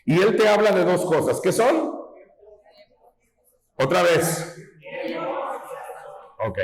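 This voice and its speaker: A man's voice: high-pitched, very animated, takes it slowly. A man preaching a sermon in a folksy teaching tone, often asking his listeners whether they follow him. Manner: folksy, asks rhetorical questions, teaching tone. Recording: echoey hall.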